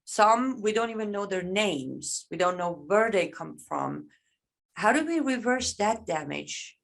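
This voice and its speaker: A woman's voice: mid-range voice, expressive, unhurried, gravelly. A woman hosting an online Q&A over a video call, speaking hesitantly with many fillers. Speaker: host. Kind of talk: moderating an online Q&A.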